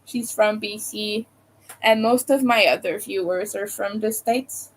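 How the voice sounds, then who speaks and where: Slow-talking woman with a Montreal accent. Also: mid-range voice, low-quality recording. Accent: Montreal Quebec. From Quebec, Canada. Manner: rambling, hesitant, many fillers. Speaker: live streamer. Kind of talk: casual live stream chat with viewers.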